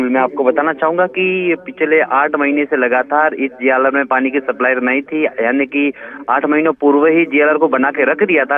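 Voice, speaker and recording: A man giving a live news report over the phone in long run-on sentences. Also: mid-range voice. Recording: tinny phone line.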